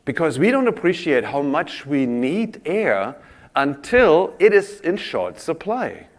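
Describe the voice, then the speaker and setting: A man presents on a conference stage, talking straight to his audience. His voice is a bit higher than most men's and swings widely as he tells his stories. He draws the crowd in as he talks. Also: takes it slowly. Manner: animated, audience-engaging storyteller. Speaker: conference speaker. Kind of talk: keynote-style presentation.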